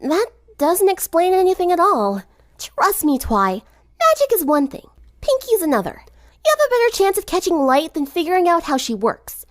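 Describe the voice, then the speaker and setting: Woman playing brash teenage girl, impatient, blunt, raised pitch, animated, story reading. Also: moderate pace, no echo, clean studio sound. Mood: teasing, emphatic. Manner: strained, exasperated, blunt.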